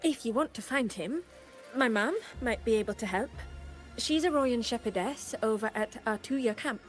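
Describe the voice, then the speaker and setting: Brief: a woman as an eager young female student, high-pitched, faint music behind. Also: normal speed, lightly acted.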